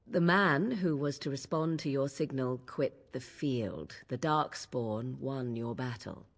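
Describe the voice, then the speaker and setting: This is a young witch in a fantasy game, cool and disdainful. Her voice sits very low, and she speaks in dry, curt, formal old-world phrases, keeping everyone at arm's length. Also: slow, exaggerated voice. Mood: grave. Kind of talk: video game voice acting.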